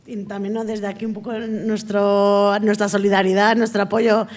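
A woman's voice: mid-range voice, level tone, normal speed. A woman hosting a live podcast. She speaks earnestly and laughs easily. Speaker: podcast host.

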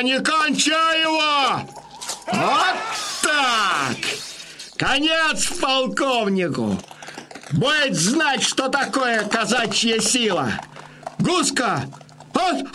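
A man's voice: very high voice, sharp tone, a little hiss or hum, full character voice. An actor playing a fierce Cossack fighter who leads his men in an audio drama, projecting with urgency. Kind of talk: theatrical audio drama battle acting.

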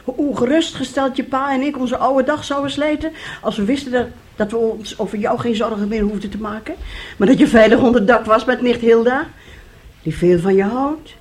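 A woman's voice: on the high side, moderate pace, darkish tone, natural voice. An actress playing a fussy, scheming older mother in a radio play, theatrical and effusive, repeating herself. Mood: coaxing. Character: fussy, scheming older mother, gushing then bitterly nagging. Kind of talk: Dutch radio play acting.

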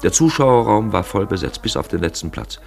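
A man narrating a literary radio feature in an emphatic, wry storytelling manner, with a gravelly voice. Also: on the low side, animated, a little fast. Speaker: narrator and presenter. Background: music.

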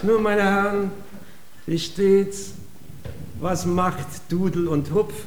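A crude, eager and naive rich gentleman in a radio comedy. He bumbles along slowly in a high voice, folksy and blustering. The recording sounds roomy. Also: fairly even intonation, a little hiss or hum. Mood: jovial, bumbling. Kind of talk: German radio play, theatrical comedy acting.

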